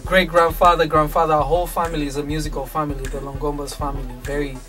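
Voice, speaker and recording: A man from Kenya speaks as a guest in a casual interview about his work as a producer. His voice sits a bit high, and he stresses points by repeating them. He sounds slightly away from the mic, with music behind him. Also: flat delivery, fast, pushed and bright, far from the mic.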